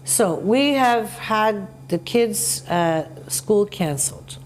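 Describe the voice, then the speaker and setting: A woman's voice: mid-range voice, takes it slowly. A woman hosts a conversational talk show with a mid-range voice. She tells personal stories emphatically and acts out what people said. There is faint noise and hum behind her. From North America. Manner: animated, emphatic storytelling with acted-out quotes. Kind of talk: conversational TV interview, telling anecdotes.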